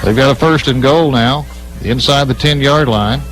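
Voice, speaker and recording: A man's voice: medium pitch, moderate pace, fairly bright tone, a little hiss or hum, poor audio. A man giving live radio play-by-play commentary of a football game as the announcer.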